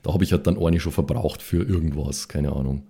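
A man's voice: a bass voice, brisk, creaky, dark tone, breathy edge. A man chatting casually and jokily as a player in a tabletop role-playing podcast, with colloquial wording. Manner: colloquial, jokey. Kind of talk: casual group chat.

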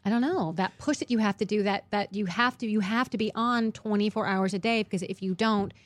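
A woman's voice: mid-range voice, brisk, no echo. A woman talking candidly as a guest in a casual chat, rambling and swearing now and then.

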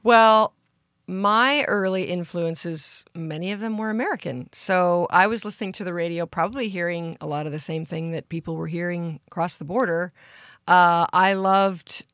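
A woman from Canada, a country singer guest, with a deep voice, talking earnestly in a casual interview. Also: moderate pace, fairly bright tone, dry recording, studio quality.

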